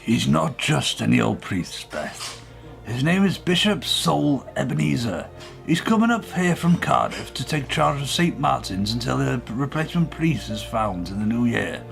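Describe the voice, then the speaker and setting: A man acting a fretful, old-fashioned father of a grown daughter in a radio play, with a gravelly voice. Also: medium pitch, very animated, normal speed, a bit bright, faint music behind, full character voice. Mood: evasive, uneasy.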